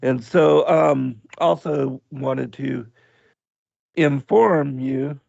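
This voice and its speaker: A man hosting a radio livestream, hoarse, with his voice going out, talking slowly and haltingly with many pauses while he reads from the chat. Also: mid-range voice, darkish tone, no echo, highs cut off. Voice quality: gravelly. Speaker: radio show host.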